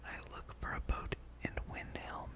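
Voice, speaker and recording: Whispering ASMR gaming host, rambling softly. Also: normal speed. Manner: rambling, thinking aloud.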